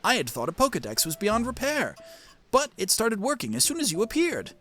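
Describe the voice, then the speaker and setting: Jovial elderly vine-monster professor for a video game, performed by a streamer, genteel, chuckling, highly animated. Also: a little fast, slight background noise. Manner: chuckling, quaint, genteel exclamations. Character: jovial old professor made of rustling vines. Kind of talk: cartoonish video game character dub.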